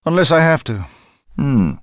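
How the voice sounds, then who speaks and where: An actor playing a suave, wry British secret agent with a dry, teasing manner in a video game. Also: male voice, medium pitch, very animated, moderate pace, no echo, lightly acted.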